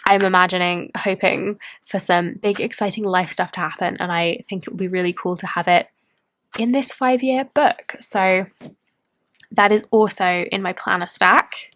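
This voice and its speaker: A woman's voice: mid-range voice, fairly even intonation, normal speed, fairly bright tone. A woman, chatting casually as she shows her planners and journals in a vlog, bubbly and rambling. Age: 20s. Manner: bubbly, rambling, self-interrupting. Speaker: planner and tarot vlogger. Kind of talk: casual chatty show-and-tell.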